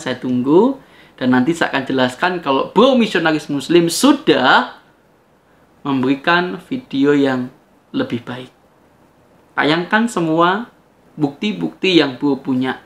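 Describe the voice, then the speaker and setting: A man's voice: medium pitch, fairly bright tone. A man delivering a preachy rebuttal monologue to viewers, emphatic and challenging, with rhetorical questions.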